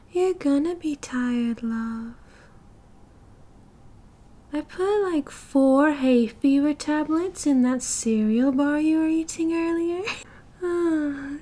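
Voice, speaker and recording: A sweetly unhinged British yandere girl, played by a woman, doting and oblivious in an ASMR roleplay. Her voice is high and soft-edged, and she rambles very slowly with a cooing, giggly manner. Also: exaggerated voice. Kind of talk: ASMR audio roleplay, soft casual monologue.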